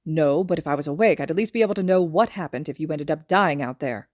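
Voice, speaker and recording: A woman's voice: low-pitched, steady tone, normal speed, dark tone, dry recording. A woman voicing a dull, blunt, stubborn man in a story reading, with a dry and terse manner. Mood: stubborn, curt.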